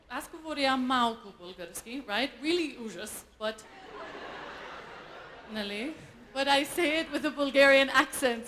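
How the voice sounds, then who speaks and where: An Irish woman with a high, bright voice performs stand-up comedy on a live stage. She is animated and self-mocking, and she breaks into laughter often. A faint hiss runs under her voice. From Ireland. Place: live event stage before an audience.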